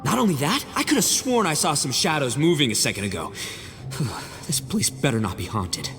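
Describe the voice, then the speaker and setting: An actor playing a brash, boastful young Oni gang boss in a video game cutscene, blustering and theatrical. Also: male voice, on the high side, big pitch swings, fairly quick. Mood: uneasy. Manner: theatrical, blustering, swings from cocky to flustered. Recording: long reverb, music behind.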